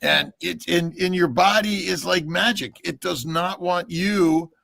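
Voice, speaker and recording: A man who is a doctor, co-hosting a casual online talk, upbeat and halting, with many fillers. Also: sits high, vocal fry, bright, forceful tone. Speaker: doctor and co-host. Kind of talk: casual livestream chat.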